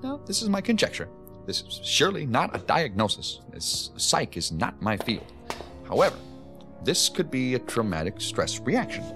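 An actor playing a flustered, talkative hospital doctor, hesitant and stammering, eager to please, in an audio drama. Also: male voice, mid-range voice, wildly swooping pitch, moderate pace, music in the background. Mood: puzzled, animated.

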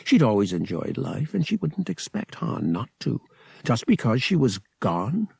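A man's voice: mid-range voice, huge pitch swings, a little slow, vocal fry, a little mellow, husky, no room sound, studio quality. A man narrating a story as a dramatic, scripted audiobook reading.

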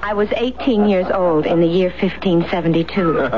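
A woman's voice: fairly low pitch, moderate pace, crowd noise, lightly acted. An actress playing an earnest, insistent immortal woman of eighteen in a dramatic 1970s radio play. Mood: insistent, anguished. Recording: dull, noisy 1970s radio recording.

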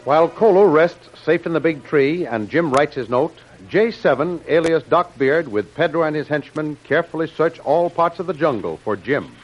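A man's voice: fairly high pitch, lively intonation, normal speed, few pauses. A man narrating a jungle adventure serial in dramatic old-time radio announcer style. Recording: dull lo-fi old radio recording. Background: music.

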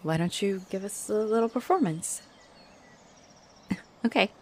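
A woman playing a friendly, flirtatious halfling orc adventurer in a roleplay audio, speaking straight to the listener in a warm, playful, expressive way. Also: medium pitch, measured pace, a little hiss or hum, close to an everyday voice. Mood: cheerful, playful.

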